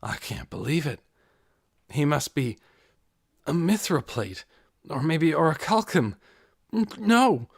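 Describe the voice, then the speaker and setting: A man's voice: fairly high pitch, very animated, slow pace, creaky, pauses often, husky, no echo, studio quality, pitched above the performer's own voice, heavily acted. A man voicing an awestruck male adventurer in an audiobook, stammering in disbelief. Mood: stunned awe. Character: awestruck male adventurer witnessing impossible feats.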